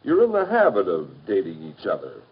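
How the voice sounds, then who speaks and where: An American man acting as an easygoing, reasonable middle-aged father giving measured advice in a 1950s educational film. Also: low voice, wildly swooping pitch, full character voice. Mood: calm, advising. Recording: dull, muffled 1950s film soundtrack.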